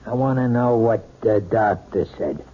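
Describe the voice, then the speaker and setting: An American man playing a gruff, impatient, domineering husband in 1970s radio drama style, curt and sarcastic. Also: on the low side, measured pace, warm tone, lightly acted. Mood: gruff, irritated. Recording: dull, noisy old radio broadcast.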